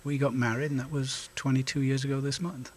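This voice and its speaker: A middle-aged man from South Wales, answering interview questions in a halting way with frequent hesitations and an occasional chuckle. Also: mid-range voice, flat delivery, a little hiss or hum. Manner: halting, lots of hesitations, chuckles now and then. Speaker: guest, volunteer officer.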